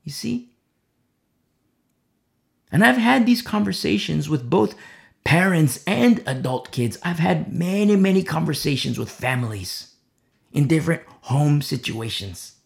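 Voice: mid-range voice, expressive, unhurried, gravelly, long pauses. A preacher delivering a sermon, with rhetorical questions and a repeated "you see?".

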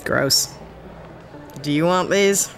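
A woman's voice: on the low side, takes it slowly, slight background noise. An actress playing a sardonic, blunt ex-cop starship captain down on her luck, in a sci-fi audio drama. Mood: awkward, polite. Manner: sardonic, blunt, hesitant when awkward.

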